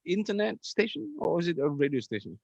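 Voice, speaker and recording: A man co-hosting a casual live interview, relaxed and quick to chuckle, relaying viewer questions. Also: sits high, gravelly, breathy edge, dry recording, lo-fi sound. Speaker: co-host.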